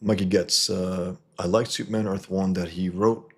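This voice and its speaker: A man from New York hosting a casual livestream, reading viewer comments and answering in a halting way that restarts and repeats words. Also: deep voice, fairly even intonation, breathy edge. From New York, USA. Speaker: livestream host and comic artist.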